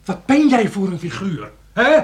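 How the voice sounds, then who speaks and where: A man's voice: high voice, huge pitch swings, normal speed. An actor in a radio play playing an indignant, sceptical man who blusters and scolds his reckless friend. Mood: indignant, scolding.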